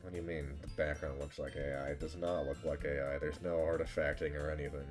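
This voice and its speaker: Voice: a bass voice, level tone, fast talker, music in the background. A livestreamer chatting casually while gaming and reading game text aloud, playful, rambling and mock-dramatic.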